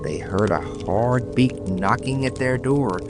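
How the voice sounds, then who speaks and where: A man's voice: mid-range voice, slow pace. A man narrating a scripted bedtime fairy tale dramatically as a storyteller. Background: background music.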